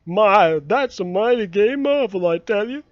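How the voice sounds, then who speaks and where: A man's voice: high voice, animated, unhurried, dry recording. A man voicing a timid, nervous young boy who pleads anxiously, in a dull, dry recording.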